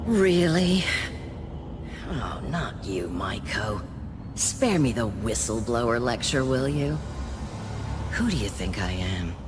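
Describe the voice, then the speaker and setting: Game casting: haughty, desperate woman director, low and slow-paced, dramatic emphasis. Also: very animated, over music, full character voice. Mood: scornful, haughty. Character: defensive, desperate woman station director, haughty then pleading. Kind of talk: cinematic game voice acting.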